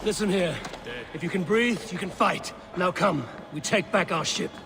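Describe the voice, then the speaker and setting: A man's voice: high voice, moderate pace. A man playing a bold, defiant Viking raider leader in a video game cutscene, speaking in terse commands. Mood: rallying. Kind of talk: cinematic video game acting.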